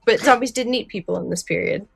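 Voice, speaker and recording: A woman co-hosting a podcast, chatting casually and thinking aloud in an animated, conversational way. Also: on the high side, fast, gravelly, faint music behind. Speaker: podcast co-host. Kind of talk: casual podcast discussion of an old radio drama.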